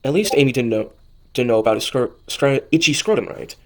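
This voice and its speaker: A man narrates an amateur audio drama in an average-pitched voice. He reads story prose and often stumbles, repeating and correcting phrases as he goes. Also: animated, a little fast. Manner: stumbles over lines and corrects himself. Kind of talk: amateur reading of fan fiction narration.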